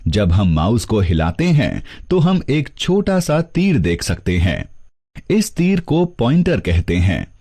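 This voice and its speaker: A man reading scripted instructional narration, explaining things step by step in a measured teaching manner. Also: mid-range voice, a little mellow. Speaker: narrator of a computer course.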